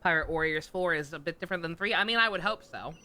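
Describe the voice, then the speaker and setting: Bright-voiced, wry game streamer. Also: medium pitch, quick pace, slight background noise. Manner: sarcastic, wry. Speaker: game livestreamer. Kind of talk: casual livestream commentary.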